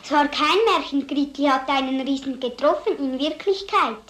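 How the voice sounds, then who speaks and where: A woman's voice: high voice, moderate pace, a bit bright. A female performer playing a stubborn, earnest little schoolgirl who answers simply and insistently. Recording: echoey old film soundtrack.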